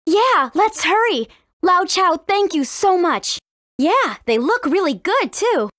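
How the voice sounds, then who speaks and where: A woman voicing a brave, friendly teenage warrior princess in a video game, with an earnest, clearly spoken manner. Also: extremely high pitch, very animated, slow, fairly bright tone, no room sound, clean studio sound, exaggerated voice. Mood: upbeat, eager. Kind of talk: scripted video game character dialogue.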